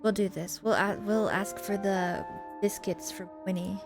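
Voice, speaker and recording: A woman gives chatty, expressive commentary on a game playthrough and reads its text aloud in a casual way. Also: medium pitch, a little slow, creaky, music in the background. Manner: chatty, expressive reactions and asides. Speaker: video game streamer.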